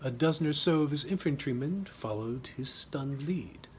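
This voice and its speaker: A man's voice: mid-range voice, lively intonation, normal speed, a little hiss or hum. A man reading his own humorous short story as scripted narration, with a wry, dramatic storytelling manner.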